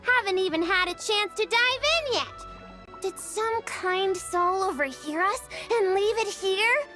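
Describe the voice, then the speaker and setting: A woman voicing a small, cute, childlike female fairy creature in a video game, cheerful and earnest, with exclamatory delivery. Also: full character voice. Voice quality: high, bright, childlike.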